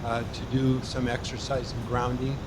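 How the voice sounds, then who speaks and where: A man in the audience reasons aloud through his question, acting out examples as he goes. His voice sits at an ordinary pitch. A clear rumble of air conditioning fills the background. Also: completely flat delivery, normal speed. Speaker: audience member asking a question.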